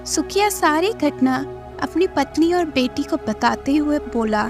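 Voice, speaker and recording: A woman's voice: sits high, lively intonation, unhurried, over music. A woman reading scripted narration for a children's cartoon story.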